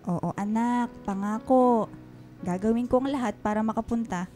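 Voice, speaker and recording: A busy working mother acted by a woman in a radio drama, with a fairly high voice. She is distracted and evasive, hesitating and stammering as she placates her child over faint music. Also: moderate pace, mellow, close to an everyday voice. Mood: flustered, evasive.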